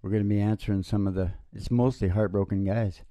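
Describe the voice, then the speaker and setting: An older man hosting a homemade show with informal, halting, joking banter into a stage microphone. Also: on the low side, fairly even intonation, mellow. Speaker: radio-style show host and country singer. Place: small dim wood-panelled room, indoors. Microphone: vocal microphone on a stand. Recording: dull lo-fi live recording with guitar.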